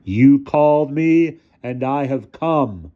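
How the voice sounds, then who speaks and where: Man playing a slow, ominous robed stranger. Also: medium pitch, animated, dull audio, pitched above the performer's own voice. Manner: slow, drawn-out, grandly ominous pronouncements. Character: tall robed stranger, theatrically menacing, self-styled supernatural visitor. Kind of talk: audiobook character voice.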